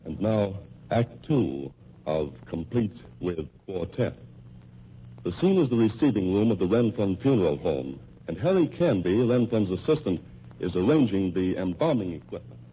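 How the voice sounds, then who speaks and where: A man's voice: sits low, sing-song, normal speed, dark tone, faint music behind. A man announcing an old-time radio drama, reading scripted narration in a dramatic, suspenseful way. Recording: muffled, noisy old radio recording.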